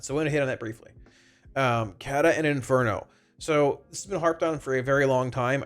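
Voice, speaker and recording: A man with a congested, slightly raspy voice from a cold, giving casual, rambling, explanatory commentary on a video game to his viewers. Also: medium pitch. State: congested, under the weather with a cold. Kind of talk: informal gaming feedback commentary to viewers. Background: background music.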